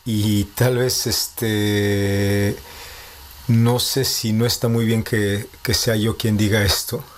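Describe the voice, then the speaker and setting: A man in his thirties with a Mexico City Spanish accent, a composer answering interview questions in a measured, reflective way, thinking aloud as he goes. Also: medium pitch. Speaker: composer and postdoctoral researcher.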